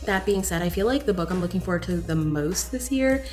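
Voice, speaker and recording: Lower-pitched woman gushing about books. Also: expressive, a little fast, a little mellow, soft background music. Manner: gushing, candid, emphatic. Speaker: book reviewer vlogger. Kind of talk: casual chatty book review to camera.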